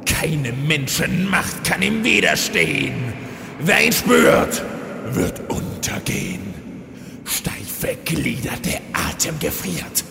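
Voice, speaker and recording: Gravelly, echoing prophet of doom. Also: male voice, mid-range voice, huge pitch swings, slow, heavily acted. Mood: solemn doom. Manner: ominous, theatrical, solemn. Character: grim male prophet foretelling a deadly winter storm. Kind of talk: dramatic verse recitation in an audio drama parody. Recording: cavernous long reverb, faint music behind.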